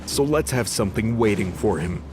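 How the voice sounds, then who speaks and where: Man playing a low-voiced, cynical fallen angel fighter. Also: lively intonation, a little fast, natural voice. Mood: sardonic. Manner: sarcastic, gruff, swearing. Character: cynical, sardonic disgraced male angel fighter. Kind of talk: audio drama acting. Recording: music under the voice.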